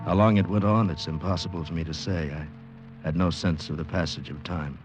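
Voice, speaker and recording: An actor playing a bewildered amnesiac American man in a halting, dramatic 1940s radio style. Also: sits low, steady tone, over loud music, natural voice. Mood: dazed, reflective. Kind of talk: 1940s radio acting, first-person narration and dialogue. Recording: noisy old radio drama recording.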